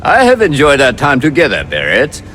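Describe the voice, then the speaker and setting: A man playing a courteous, calculating space pirate captain in a video game, measured, formal and dryly amused. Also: mid-range voice, wildly swooping pitch, a bit bright, slight background noise. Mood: dry, gracious. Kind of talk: video game dialogue acting.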